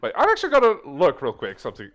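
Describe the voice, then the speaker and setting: Lively man in casual chat. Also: sits high, racing. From Netherlands. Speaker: co-host.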